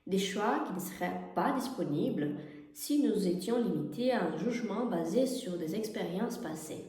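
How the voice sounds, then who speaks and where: Woman, Portuguese native, calm guiding read, slow and mellow, very echoey room. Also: mid-range voice. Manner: gentle, guiding, with soft laughs. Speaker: yoga teacher and wellness columnist. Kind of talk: reading her own column aloud. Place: her home.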